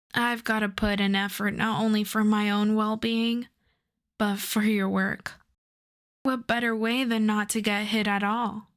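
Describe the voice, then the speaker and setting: A woman playing a suave, self-assured female supervillain in a roleplay audio drama, flirting and teasing in an unhurried way. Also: mid-range voice. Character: suave flirtatious female supervillain courting her costume maker.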